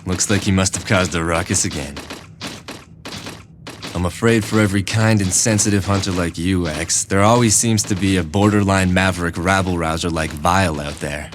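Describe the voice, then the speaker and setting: An actor playing a matter-of-fact male robot hunter in an animated dub, with a cool, slightly wry delivery. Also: low voice, moderate pace, lightly acted. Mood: explaining, wry. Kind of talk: English-dubbed animation acting. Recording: noisy ambience behind the voice.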